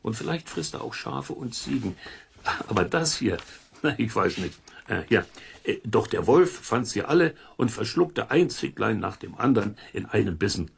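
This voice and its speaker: A man's voice: fairly low pitch, lively intonation, moderate pace, vocal fry, husky, full character voice. An actor playing a folksy, curious man who muses and chats to listeners in a children's audio drama. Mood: musing, amused. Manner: musing, chuckling, colloquial, talks to listeners. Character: folksy, curious amateur investigator, chatty middle-aged man. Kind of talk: German children's audio drama, chatty explaining.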